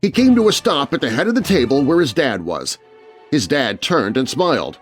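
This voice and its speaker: A man's voice: mid-range voice, very animated, moderate pace, breathy edge, faint music behind. A man narrating a scary story for children in a dramatic, scripted storytelling style.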